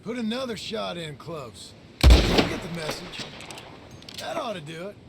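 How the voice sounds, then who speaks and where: An actor voicing a scrappy, irritable younger American outlaw cowboy with a gravelly voice in a western video game. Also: male voice, sits high, expressive, a little slow, heavy background noise, full character voice. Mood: brisk, confident.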